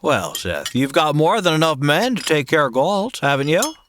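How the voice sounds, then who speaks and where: A man's voice: fairly high pitch, sing-song, moderate pace, fairly bright tone, a little hiss or hum. A man playing an easygoing, polite cowboy drifter in a comedy audio drama, with a drawling aw-shucks manner.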